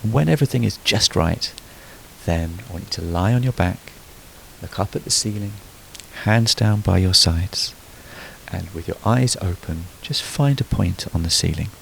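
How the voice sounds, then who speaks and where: A man leading a guided sleep meditation, giving soothing, unhurried instructions with frequent pauses. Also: low-pitched, animated, creaky, a bit bright, slight background noise, rough recording. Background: background music.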